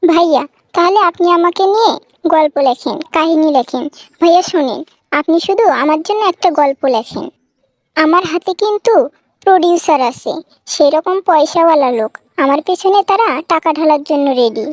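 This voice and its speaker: A very high, childlike cartoon voice for a vain young Bangladeshi woman , acted by a woman. She boasts and wheedles, desperate to become a film heroine. The sound is close and dry. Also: expressive, normal speed, no echo. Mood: eager, insistent. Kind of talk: comic cartoon dialogue.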